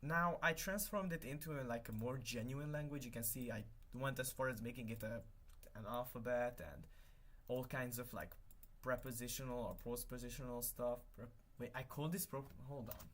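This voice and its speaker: A man's voice: mid-range voice, moderate pace, fairly bright tone. Young guy, hungarian first language, streaming and rambling, lots of ums, mid voice, keyboard clacking behind. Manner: rambling, self-correcting, many fillers. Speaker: livestreamer. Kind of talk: casual live stream chat while working.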